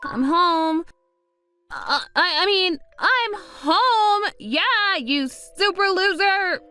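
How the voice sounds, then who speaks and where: A woman's voice: extremely high pitch, expressive, extremely slow, pushed and bright, no room sound, exaggerated voice. A woman voicing a brash teenage wannabe rebel girl in an anime-style romcom, secretly sweet, her bravado slipping into bashful stammers. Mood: excited, bashful. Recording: music under the voice. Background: background music.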